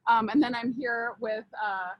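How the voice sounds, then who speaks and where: A woman's voice: a little high, steady tone, a little slow, dry recording, lo-fi sound. A young woman working in winery sales, introducing herself casually and hesitantly with many fillers.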